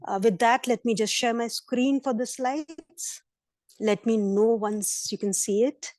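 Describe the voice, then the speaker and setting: A hoarse woman presenting at a virtual conference session, earnest, with fillers and restarts. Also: fairly high pitch, a little slow, darkish tone, dry recording, clean studio sound. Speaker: invited speaker. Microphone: computer mic on video call.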